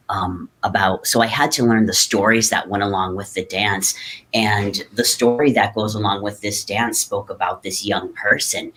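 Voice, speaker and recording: Soft-spoken man with a low voice. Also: rough recording. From Great Lakes region, North America. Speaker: guest artist, hoop dancer. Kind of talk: conversational interview, storytelling.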